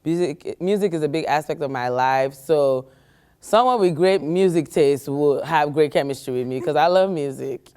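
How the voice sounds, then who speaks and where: A woman in her thirties talking thoughtfully and in a measured way about herself. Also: low voice, mellow, clear, resonant tone. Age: 30s. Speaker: interviewee, single woman dating. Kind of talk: casual interview talk.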